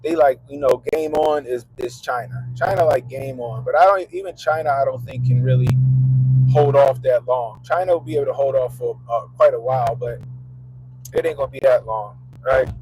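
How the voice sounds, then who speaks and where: A man's voice: mid-range voice, moderate pace, darkish tone, slight background noise, poor audio. A congested man hosting his vlog, talking in a loose, profane, laughing rant from inside his car. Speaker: vlogger host. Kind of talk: casual profane commentary.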